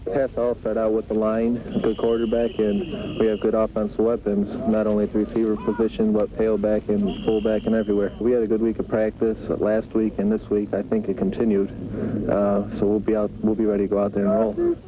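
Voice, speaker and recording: A man's voice: fairly low pitch, completely flat delivery, brisk. A man giving matter-of-fact interview answers about his football team. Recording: dull field audio, clear noise.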